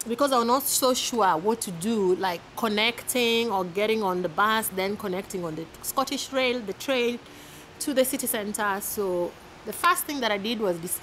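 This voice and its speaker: A woman in her thirties from Kenya with a Kenyan accent, giving casual advice to camera in a chatty, animated way, filmed outdoors in a garden. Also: mid-range voice, slight background noise, poor audio. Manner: chatty, animated, laughs often, with repeated restarts. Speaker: vlogger. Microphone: camera at arm's length.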